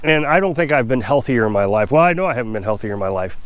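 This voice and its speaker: A man's voice: medium pitch, expressive, quick pace, no room sound, dull audio. A man with a stuffy, congested voice, talking casually and opinionatedly to the camera in a rambling vlog. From Missouri. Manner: chatty, rambling, self-assured. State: congested from a cold. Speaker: off-grid homestead vlogger.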